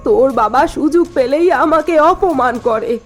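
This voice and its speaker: A woman's voice: high-pitched, animated, normal speed, faint music behind. A woman voicing a spiteful, scheming middle-aged mother-in-law in a cartoon drama, needling and self-justifying. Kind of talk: dubbed cartoon dialogue.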